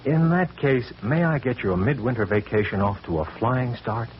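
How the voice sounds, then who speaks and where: A man's voice: medium pitch, lively intonation, moderate pace, close to an everyday voice. A man acting a genial, teasing police inspector in 1940s radio detective style. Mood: easygoing. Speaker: supporting actor. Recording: noisy, muffled vintage radio recording.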